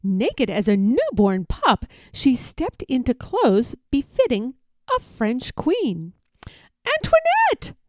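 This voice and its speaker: A woman reading a children's picture book aloud as an expressive, deliberate storyteller. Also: on the high side, no echo, clean studio sound.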